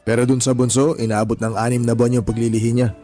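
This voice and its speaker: A man's voice: medium pitch, quick pace, close to an everyday voice. An actor playing a talkative friend and father of four, giving advice in a radio drama. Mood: chatty. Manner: animated storytelling, advice-giving. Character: chatty older friend, teasing and advising. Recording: faint music bed behind voice.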